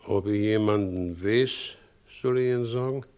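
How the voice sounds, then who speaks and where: An actor playing a good-natured, plain-spoken widowed carter of middle age in a 1960s radio play, brooding and hesitant, with rustic phrasing. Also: male voice, on the low side, normal speed, darkish tone, clear, resonant tone, natural voice.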